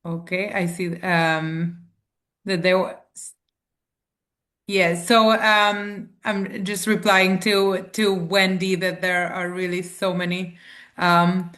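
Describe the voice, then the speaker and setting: A woman's voice: lowish voice, little pitch movement, takes it slowly, a bit bright. A woman moderating an online Q&A, thanking the speaker and reading out audience questions over a video call. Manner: reads out audience questions, polite thanks, light fillers. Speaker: event moderator.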